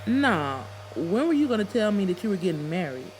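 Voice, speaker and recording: A woman's voice: medium pitch, sing-song, a little fast, a little mellow, a little hiss or hum, lightly acted, poor audio. A woman voicing a furious, foul-mouthed, scorned hairstylist in an audiobook, confrontational and emphatic. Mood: angry, mocking.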